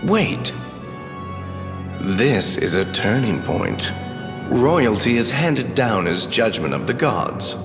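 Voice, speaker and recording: A man's voice: fairly low pitch, big pitch swings, slow, long pauses, heavily acted. A man playing a scheming, haughty royal adviser in a video game, speaking in a formal, theatrical, condescending way. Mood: contemptuous. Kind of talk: anime-style video game voice acting. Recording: echoey reverberant hall, loud music behind.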